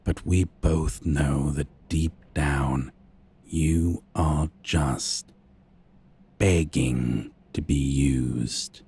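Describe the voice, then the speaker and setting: Sadistic male vampire for ASMR roleplay, acted by a man: extremely low, seductive, menacing, very drawn-out delivery. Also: steady tone, dark tone, exaggerated voice. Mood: mocking, menacing. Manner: slow, menacing, breathy taunting.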